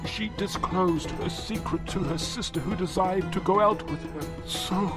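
A man voicing a grieving, dignified old father in an audio drama, with halting, formal, archaic phrasing. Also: high-pitched, loud music behind the voice, pitched above the performer's own voice. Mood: sorrowful, confiding.

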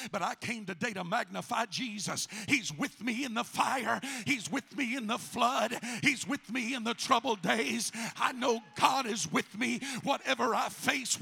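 A man preaching a fervent sermon as a pastor, with a raspy, strained voice and an emphatic, repetitive, exhorting delivery. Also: high-pitched, pushed and bright. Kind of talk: fervent Pentecostal-style sermon.

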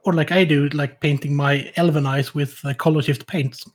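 A man co-hosting a casual hobby podcast, speaking with a level, flat delivery. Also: a little high, normal speed. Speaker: podcast co-host.

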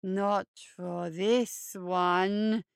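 A woman's voice: mid-range voice, steady tone, extremely slow, vocal fry, clear, resonant tone, dry recording, studio quality, voice pushed down. A woman voicing a huge, hungry, blood-soaked male hare monster who murmurs in broken grammar. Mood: menacing.

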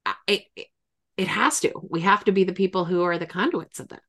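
A woman's voice: lowish voice, a little fast, a bit bright. A woman hosting a podcast in a casual conversational interview, speaking in an effusive, emphatic way. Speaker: podcast host and grief expert.

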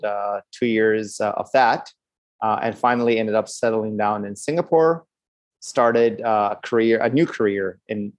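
A middle-aged American man with a low voice talks through his story in an easygoing way. He pads his sentences with "uh" and often starts them over. The recording is dry, with no room sound. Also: dry recording. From Los Angeles, California. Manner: relaxed, many fillers and restarts. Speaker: podcast guest, startup founder. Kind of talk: conversational interview answers.

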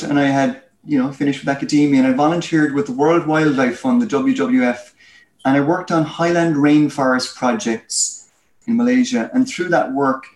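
A man with an Irish accent giving articulate, explanatory interview answers in a storytelling way. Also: fairly high pitch. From Ireland. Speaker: guest, head of a research firm. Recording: roomy, echoey sound.

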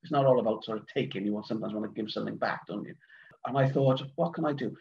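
A man's voice: fairly low pitch, quick pace, vocal fry. A middle-aged Welsh man from Cardiff chatting casually as a podcast guest, rambling with lots of ums, uhs and restarts. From Wales. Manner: rambling, hesitant, many fillers and restarts. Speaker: guest, event organiser. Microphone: remote online call. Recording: dull lo-fi online call audio.

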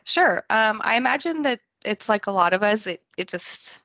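A woman's voice: mid-range voice, lively intonation, moderate pace, sharp tone, no echo. A woman in her thirties, born in Scotland and raised in France, talking casually as a podcast guest, with many ums and restarts. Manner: chatty, many ums and restarts, laughs easily. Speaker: podcast guest, quality and compliance manager. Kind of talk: casual interview talk.

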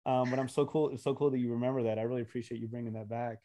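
A man hosting a podcast interview, supportive and warm toward his former student, with quick short replies. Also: medium pitch, steady tone. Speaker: podcast host, former teacher. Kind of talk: interview chat.

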